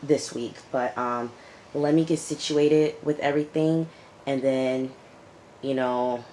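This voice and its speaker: A woman with a raspy, hoarse voice from recent illness, reviewing a TV episode in a casual vlog and repeating her points for emphasis. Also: a bass voice, steady tone, slow, dark tone, a little hiss or hum, rough recording. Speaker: YouTube TV reviewer.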